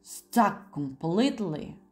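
A woman's voice: fairly low pitch, very animated, slow, dark tone. A woman voicing a suspicious, hot-headed male poet with a blunt, irritable manner in an audiobook. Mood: suspicious, irritated.

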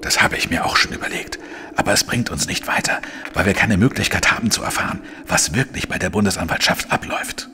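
An actor playing a world-weary, wry male investigative journalist, narrating a crime audio drama in a dry, measured way. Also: sits low, bright, forceful tone, slight background noise, exaggerated voice. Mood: hushed, cautious. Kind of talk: scripted first-person crime drama narration. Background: music.

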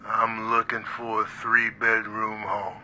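A man playing a deadpan, scheming home buyer in a comedy sketch. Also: fairly low pitch, slow, a little hiss or hum, heavily acted.

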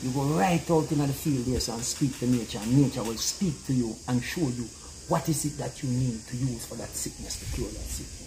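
A middle-aged Jamaican man, a guest in an animated outdoor conversation, who talks emphatically and keeps asking "you see it?". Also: medium pitch, a little fast, few pauses, noisy background. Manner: emphatic, repeats "you see it?", gestures while talking. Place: open-air pavilion in a garden.

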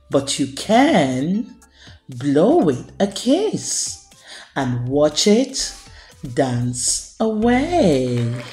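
A woman hosting a children's storytime, reading a picture book aloud in a deliberate, expressive storyteller's delivery. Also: sits low.

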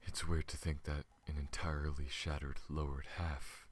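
Man voicing a very deep, weary alien pilot. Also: little pitch movement, husky. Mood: weary, pained. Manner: slow, halting, earnest. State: weak and recovering from wounds. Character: gentle, honorable, battle-worn tall male alien pilot. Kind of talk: ASMR audio roleplay.

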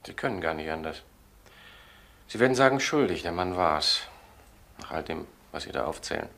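An actor playing a resigned, gloomy lawyer in a crime radio drama, subdued and fatalistic. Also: male voice, deep voice, expressive, moderate pace, vocal fry, a bit bright, full character voice. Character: resigned, gloomy male lawyer under suspicion.

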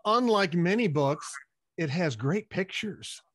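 A man's voice: sits high, lively intonation, slow, fairly bright tone. An older man who hosts a show, chatting in a folksy, easygoing way during an interview. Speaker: podcast host. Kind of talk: casual interview hosting. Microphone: podcast mic on stand, video call.

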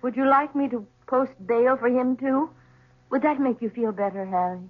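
A woman's voice: high voice, moderate pace. A woman playing an earnest, regretful widow in a 1970s radio drama. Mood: concerned. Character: earnest, regretful widow visiting her ex-husband. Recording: tinny 1970s radio broadcast.

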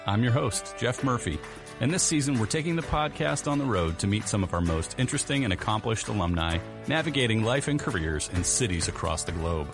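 A man's voice: lowish voice, expressive, over music. A man, the host of a podcast, reading a polished scripted introduction. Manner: brisk, polished announcer delivery.

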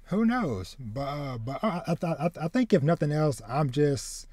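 A man in his thirties hosting a casual anime podcast, speaking haltingly and often repeating words as he goes. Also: a little high, animated, a little mellow, no room sound. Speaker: podcast host. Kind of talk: casual chat about anime. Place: small room with bookshelves, indoors, on a video call.

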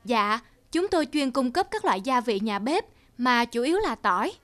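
Deferential fairy-tale trader, courteous and formal, high register. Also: female voice, big pitch swings, normal speed, fairly bright tone. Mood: respectful, persuasive. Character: humble, polite middle-aged male spice merchant. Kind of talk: cartoon fairy-tale acting.

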